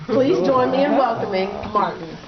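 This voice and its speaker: A woman introduces the next speaker at a club meeting in a measured, formal tone with a fairly high voice. The sound is distant and roomy, dull, with clear background noise. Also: animated. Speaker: meeting chair.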